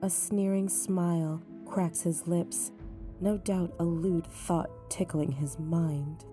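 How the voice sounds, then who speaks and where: Woman telling a dark story real slow, lowish voice, music behind. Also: steady tone. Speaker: narrator. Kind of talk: first-person dramatic narration.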